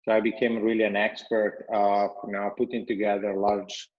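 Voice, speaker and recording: A man in his thirties from Italy with an Italian accent, a guest on a video call interview, speaking in a measured way with many restarts. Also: on the low side, flat delivery. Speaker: guest, company founder. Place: bright room at home with a window. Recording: dull, lo-fi call audio.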